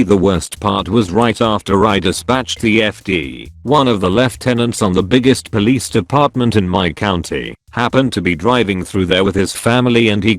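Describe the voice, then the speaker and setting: A man narrates first-person forum stories in a scripted read. His voice sits on the low side and his intonation is lively and varied. Faint music plays with the narration. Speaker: narrator reading online forum posts.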